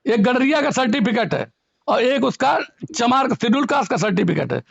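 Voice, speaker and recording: A man's voice: very high-pitched, expressive, a little fast, vocal fry, husky, no echo. A man with a western Uttar Pradesh Hindi accent, a local politician arguing insistently and firing questions in a phone conversation. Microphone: telephone. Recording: dull lo-fi phone call recording.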